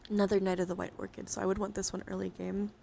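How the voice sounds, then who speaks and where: A woman hosting an ASMR livestream, speaking softly and casually at a close microphone in an unhurried show-and-tell style with murmured, whispery asides. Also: mid-range voice, little pitch movement, gravelly, a little hiss or hum. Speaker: livestream host. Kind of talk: soft-spoken ASMR card show-and-tell.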